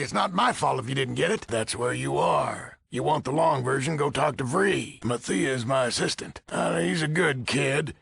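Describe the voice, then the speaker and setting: A crusty old commander of a military order for a video game. His voice is heavily gravelled and husky. He talks bluntly and folksily, with little patience. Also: on the high side, bright, forceful tone, dry recording, exaggerated voice. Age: older. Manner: blunt, folksy, swearing, easily exasperated. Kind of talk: video game character dialogue.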